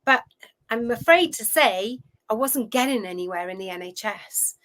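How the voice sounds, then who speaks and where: A Welsh woman in her fifties, a coach telling her career story in a chatty, animated way as an interview guest. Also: fairly high pitch, normal speed. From Wales. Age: 50s. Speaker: guest, food relationship coach. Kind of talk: casual interview chat.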